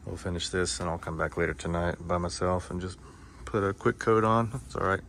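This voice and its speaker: A man casually talking through his boatbuilding project in a home garage workshop, relaxed and easygoing, recorded on a handheld phone. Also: low-pitched, fairly quick, slight background noise. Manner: relaxed, easygoing, thinking aloud.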